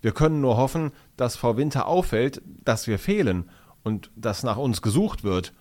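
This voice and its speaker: A man's voice: medium pitch, animated, normal speed, a little mellow, natural voice. A man voicing a nervous young schoolboy who stammers apologetically. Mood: anxious. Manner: stammering, sheepish.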